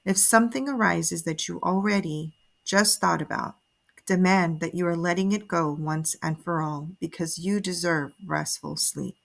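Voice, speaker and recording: A woman narrating a guided sleep meditation in a soothing, measured, instructive way. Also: fairly low pitch, vocal fry. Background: soft music.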